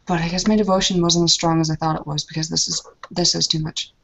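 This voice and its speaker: A woman's voice: on the low side, flat delivery, fast talker, creaky, a bit bright, a little hiss or hum. A woman in an in-character tabletop roleplay, speaking tersely and bitterly.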